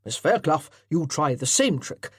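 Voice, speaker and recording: A narrator voicing an older retired colonel who barks orders at amateur actors in a loud parade-ground manner. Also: mid-range voice, big pitch swings, a little slow, breathy edge, dry recording, clean studio sound. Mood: commanding. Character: commanding older male colonel, drill-sergeant bark.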